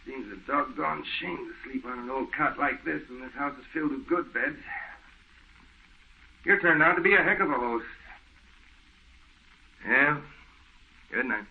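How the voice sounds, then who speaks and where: A man's voice: medium pitch, measured pace, gravelly, long pauses, husky. An American actor playing a level-headed, skeptical male friend in a folksy, insistent manner, in old radio horror drama style. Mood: resigned. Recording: muffled old-time radio recording.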